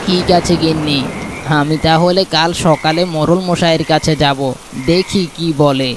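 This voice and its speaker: A man playing a poor, anxious village farmer and family man in a plaintive, lamenting way for an animated moral cartoon. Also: fairly high pitch, normal speed, noisy background, lightly acted. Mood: resigned. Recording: music behind the voice.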